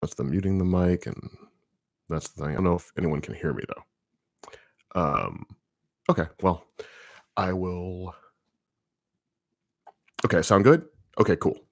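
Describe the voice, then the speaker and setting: A man with a very deep voice, a comics writer casually answering viewer questions on a livestream, thinking aloud with lots of ums. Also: measured pace, long pauses, no room sound, studio quality. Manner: relaxed, rambling, thinking aloud with many fillers.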